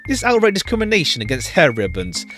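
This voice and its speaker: A man's voice: fairly high pitch, wildly swooping pitch, fast talker, fairly bright tone, faint music behind, voice pushed up. A man voicing a haughty, indignant talking hair ribbon in a visual novel, with a pompous, declamatory delivery. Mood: pompous indignation.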